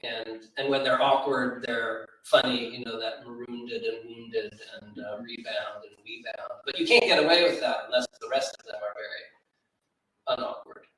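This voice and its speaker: Man, literary event speaker. Thoughtful, halting, digressive; very echoey room, slightly distant mic. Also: mid-range voice, pushed and bright. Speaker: poetry editor and event speaker. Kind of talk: informal spoken commentary at a literary event. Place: large reverberant room at a live event.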